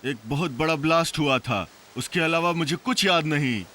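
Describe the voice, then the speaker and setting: A man's voice: fairly high pitch, normal speed, sharp tone, slight background noise. An actor voicing a puzzled, agreeable male sidekick in a cartoon dub.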